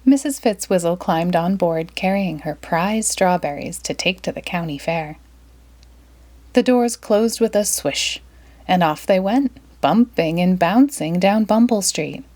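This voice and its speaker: A woman reading a children's picture book aloud in an expressive, dramatic storytelling style. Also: on the low side, a little slow. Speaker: storybook reader.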